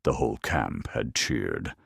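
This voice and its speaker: A man narrating a scripted dramatic story in a wry, deadpan manner. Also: very deep voice, measured pace, gravelly, slightly rough, no echo, studio quality.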